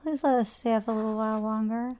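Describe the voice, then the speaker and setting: A woman's voice: on the high side, steady tone, fast talker, natural voice. A woman voicing a young girl who begs to stay up longer. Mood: pleading. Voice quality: loud begging. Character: pleading young girl, Susan.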